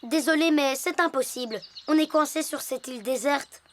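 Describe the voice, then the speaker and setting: A woman's voice: high voice, normal speed, slight background noise, natural voice. A woman voicing an earnest, imaginative young boy hippo in a French children's cartoon, theatrical and serious in delivery. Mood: solemn, self-pitying.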